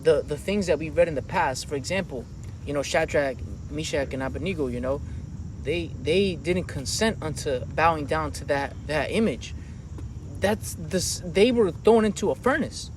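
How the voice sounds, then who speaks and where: A man preaching outdoors on a city street, animated and slangy, calling listeners man and brother. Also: fairly high pitch, moderate pace, slight background noise, low-quality recording. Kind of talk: impassioned outdoor street teaching.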